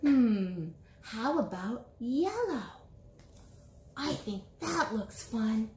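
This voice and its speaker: A woman's voice: fairly high pitch, lively intonation, drawn-out words, mellow. A woman reading a storybook as a curious, playful little rabbit who muses aloud and exclaims. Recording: roomy small-room echo.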